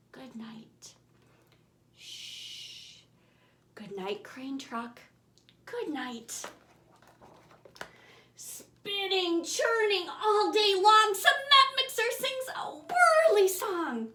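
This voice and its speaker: A woman reads a children's bedtime story in a high, lively voice. Her delivery is very slow and theatrical, shaping each rhyme with care and playing with dynamics. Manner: expressive, theatrical, swinging from booming to hushed whispers. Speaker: author reading her own picture book aloud. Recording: roomy, echoing room sound.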